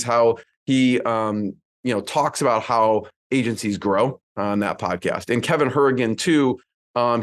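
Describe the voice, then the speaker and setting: Chatty male podcast host. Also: medium pitch. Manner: chatty, filler-heavy with many ums. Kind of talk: conversational interview intro.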